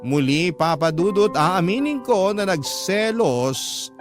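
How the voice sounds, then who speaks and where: A man with a slightly high voice narrates a radio drama. He reads in a confessional, first-person scripted style, with prominent music behind him. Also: expressive, takes it slowly.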